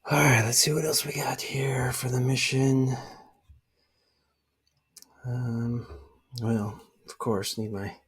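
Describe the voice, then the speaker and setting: Male ASMR creator, mid-pitched, extremely breathy, half-whispered, pausing to think aloud through a roleplay. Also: fairly even intonation. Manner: murmured, often dropping into a whisper. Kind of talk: ASMR roleplay, soft-spoken personal rambling.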